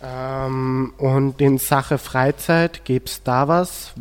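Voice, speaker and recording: A man's voice: medium pitch, fairly even intonation, drawn-out words, clear, resonant tone. A teenage male student with an Austrian accent, moderating a discussion on a school radio show.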